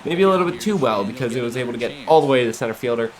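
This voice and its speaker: A man's voice: medium pitch, lively intonation, rapid-fire, low-quality recording. An American man hosting a gaming series, giving casual, rambling, conversational commentary while a bit sniffly from getting over the flu. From Ohio, United States. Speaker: gaming YouTuber, series host.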